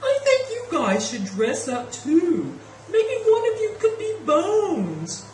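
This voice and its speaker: A woman voicing a large, annoying, jokey ghost in a puppet show, with digitally altered pitch. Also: huge pitch swings, slow pace, darkish tone, distant mic, exaggerated voice. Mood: gleeful, teasing. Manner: jokey, corny, self-amused. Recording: roomy sound with faint noise.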